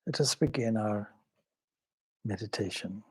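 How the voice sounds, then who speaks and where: A man leading a guided meditation as a swami, speaking in a measured, solemn, devotional way with pauses between short phrases. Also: on the low side, a little mellow, husky.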